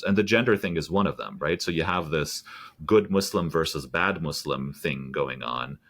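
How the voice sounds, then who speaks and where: A man's voice: extremely low pitch, a bit bright. A man speaking as a guest in an interview, thoughtful and hedging, with frequent fillers and restarts. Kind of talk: conversational interview.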